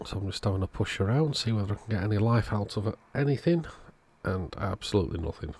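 A man, host of an electronics repair video, calmly thinking aloud as he methodically works on a radio at his workbench. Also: fairly low pitch, fast talker, slightly rough. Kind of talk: casual narrated repair walkthrough.

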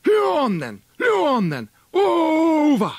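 A man voicing an excited hunt follower, yelling screeching cries to the hounds in an audiobook. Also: very high-pitched, takes it slowly, no room sound, voice pushed up, heavily acted. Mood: urgent, excited.

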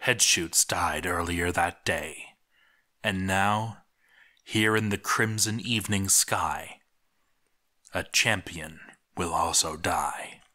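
A man narrates a scripted tale in a fairly low, bright voice. His delivery is theatrical and deliberate, leaning on dramatic pauses as a storyteller would. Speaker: narrator of a video series. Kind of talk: dramatic scripted story narration, reading a game chronicle aloud.

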